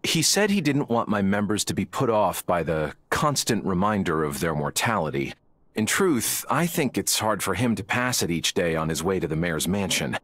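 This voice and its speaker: An actor playing an earnest, philosophical village spiritual leader in a video game, solemn and measured. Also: male voice, low voice, very animated, fairly bright tone, no room sound, heavily acted. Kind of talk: video game character dialogue.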